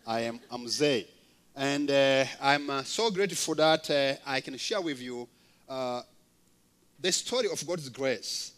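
A man in his fifties from Rwanda, a guest preacher giving a testimony sermon in a halting way, with frequent fillers and gentle humor. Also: medium pitch.

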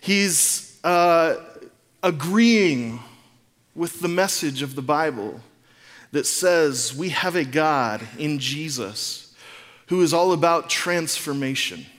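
A man's voice: a little high, animated, slow pace, fairly bright tone. A middle-aged man preaching as a pastor in a conversational, reflective sermon style, informal and often posing rhetorical questions. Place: large reverberant room, speaking to a congregation. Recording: long reverb of a large room.

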